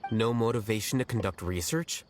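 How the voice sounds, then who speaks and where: A man playing an aloof, calm and blunt scholar-official in a video game, with a restrained delivery. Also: lowish voice, fairly quick, a little hiss or hum, close to an everyday voice. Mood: cool, probing. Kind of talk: voice-acted video game dialogue.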